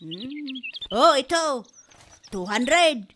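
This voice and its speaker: A woman acts a sassy, vain mother in a comedy drama. Her voice is high and bright, with an unhurried, drawn-out, complaining delivery. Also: lively intonation, a little hiss or hum, full character voice. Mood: fretful. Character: vain, sassy Ilocano mother, struggling halo-halo vendor. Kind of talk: Filipino comedy audio drama.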